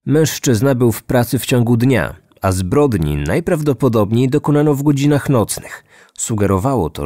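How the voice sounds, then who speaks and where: Low voiced guy hosting true crime podcast, reading a script. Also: a little mellow.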